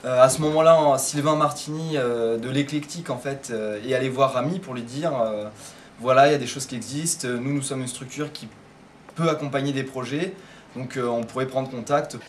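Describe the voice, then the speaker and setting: A man's voice: mid-range voice, brisk, a little hiss or hum. A young man, a law student and rapper, recounting how his group got support, fluent with some fillers. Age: young adult. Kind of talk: testimonial interview.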